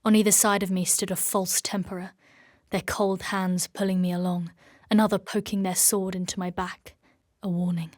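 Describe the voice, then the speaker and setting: An actress playing a fierce, guarded warrior woman in a dark fantasy audio drama, terse and intense. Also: medium pitch, level tone, normal speed, lightly acted. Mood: grim narration.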